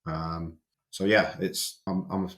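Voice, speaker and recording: Young Yorkshire man, podcast guest, very low and level voice, halting delivery that repeats words. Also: flat delivery, a bit bright. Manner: hesitant, repeats and restarts words. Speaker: strength and conditioning coach, podcast guest. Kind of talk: casual podcast interview.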